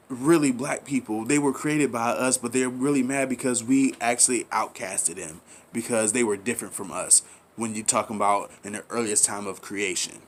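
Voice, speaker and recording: A man's voice: mid-range voice, a little hiss or hum. Preachy man delivering a rambling monologue. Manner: preachy, repeats himself, pleading with listeners; rhetorical questions, casual slang. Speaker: commentary channel host. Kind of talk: impassioned monologue.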